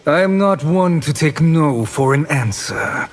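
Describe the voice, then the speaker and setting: A threatening sorcerer villain in a fighting game. He talks slowly and formally with smug menace. The voice rings in a long reverb with light ambient noise behind it. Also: a little high, animated. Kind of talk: video game character dialogue.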